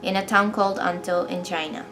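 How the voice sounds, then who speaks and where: Soft-spoken young woman narrating. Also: fairly low pitch, steady tone, a little fast. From Japan. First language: Japanese. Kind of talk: personal documentary narration. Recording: narration over clear music.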